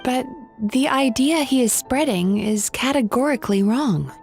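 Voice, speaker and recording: A woman's voice: sits high, vocal fry, soft background music. A woman voicing a thoughtful, analytical young woman psychologist in a video game. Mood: pensive. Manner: measured, pensive.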